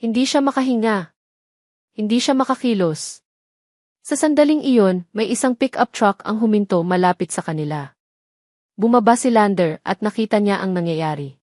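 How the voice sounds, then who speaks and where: A woman's voice: mid-range voice, animated, normal speed, pauses often, darkish tone, dry recording, clean studio sound. A woman reading scripted dramatic narration as the storyteller of an audio romance.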